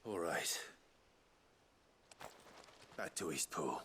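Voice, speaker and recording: A man's voice: deep voice, expressive, drawn-out words, a bit bright, slight background noise, full character voice. A man voicing a stoic young warrior lord in a game, terse and commanding. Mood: calm, grave. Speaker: video game character. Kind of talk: dramatic game voice acting. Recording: game music behind. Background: game music.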